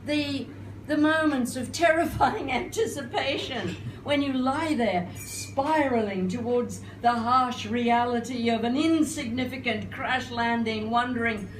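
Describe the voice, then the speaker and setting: Older lady doing spoken word poetry, slow n wry, kinda theatrical, far from mic in a roomy noisy space. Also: on the high side. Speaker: performance poet and singer. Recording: roomy, noisy live recording, distant mic.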